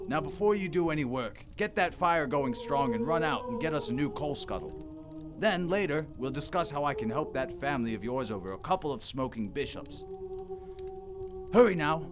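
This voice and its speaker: A man playing a reformed old miser in an audio drama, giddy, warm and exclamatory, often repeating himself. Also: fairly high pitch, expressive, moderate pace, close to an everyday voice. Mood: generous. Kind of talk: theatrical audio drama acting. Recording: music playing behind the voice.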